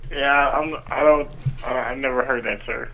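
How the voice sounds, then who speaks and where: A woman store employee takes a customer's phone call. Her voice is low, and she speaks politely with some hesitation. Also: steady tone, normal speed, creaky, bright, forceful tone. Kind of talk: unscripted customer phone conversation.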